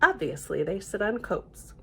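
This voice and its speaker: A woman as a bossy, slow-talking know-it-all storybook cat. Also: mid-range voice, sing-song, bright, forceful tone, husky, pitched below the performer's own voice, heavily acted. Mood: sure, instructive. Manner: emphatic, drawn-out pronouncements. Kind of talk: children's storybook read-aloud.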